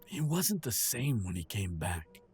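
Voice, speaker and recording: Audio drama father male actor: earnest, hesitant, middle-aged, heavily raspy, animated pitch. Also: a little slow, soft background music, exaggerated voice. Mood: indignant, defensive. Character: aging defensive father of a grown werewolf son. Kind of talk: audio roleplay drama acting.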